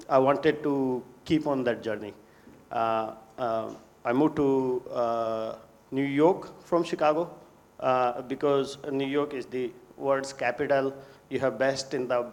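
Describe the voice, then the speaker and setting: Indian-born investor telling his story. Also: medium pitch. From India (Rajasthan). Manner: frequent "uh" fillers, earnest and reflective. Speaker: investor guest. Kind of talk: conversational interview storytelling.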